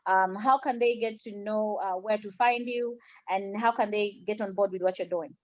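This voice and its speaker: Female host for a conversational interview, higher-pitched, encouraging, repeats words for emphasis, muffled dry lo-fi sound. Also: normal speed, dry recording.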